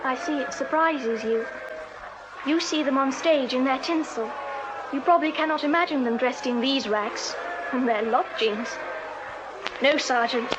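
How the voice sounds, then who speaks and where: High-voiced, prim English Victorian woman. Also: measured pace, pauses often, background noise. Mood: brisk, sombre. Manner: precise, earnest, formal. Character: prim, bitter, protective Victorian music hall woman. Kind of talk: full-cast period radio drama acting.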